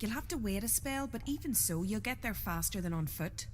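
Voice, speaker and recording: Actress as a lively, cheeky village girl. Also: mid-range voice, a little fast, a little hiss or hum, lightly acted. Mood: brisk, breezy. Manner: teasing, folksy, expressive. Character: cheeky, gossipy young village girl, romantic and blunt. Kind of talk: video game cutscene acting.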